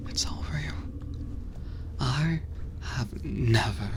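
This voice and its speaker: A woman voicing a possessive, soft-spoken yandere bunny boy in a breathy, coaxing ASMR whisper. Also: very deep voice. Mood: tender, possessive. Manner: slow, soothing, possessive. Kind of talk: ASMR roleplay.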